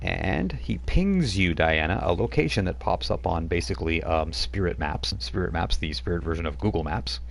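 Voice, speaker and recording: A man's voice: sits low, animated, moderate pace, creaky, hardly any pauses, a little hiss or hum. A man narrating as game master of a tabletop role-playing game, rambling with many fillers.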